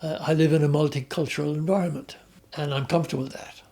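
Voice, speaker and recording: Gravelly older Irish man, reminiscing. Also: fairly high pitch, fairly even intonation, quick pace, a little mellow. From Ireland. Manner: hesitant, with many restarts and fillers. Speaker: interviewee. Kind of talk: reflective conversational storytelling.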